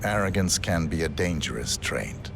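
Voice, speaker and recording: An actor playing a cold, terse assassin in a video game, with a gravelly voice and deadpan manner. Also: male voice, rumbling low, normal speed, noisy background, heavily acted. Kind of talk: cinematic video game dialogue.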